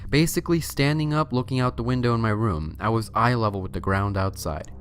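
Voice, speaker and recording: A man's voice: mid-range voice, brisk, a little hiss or hum. A man hosting and reading a scary story as narration, with a theatrical, suspenseful delivery. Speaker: host and story reader.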